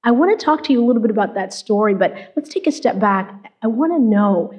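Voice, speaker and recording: A woman interviewer asking measured, careful questions in a broadcast interview. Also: fairly high pitch, expressive, a little mellow, dull audio.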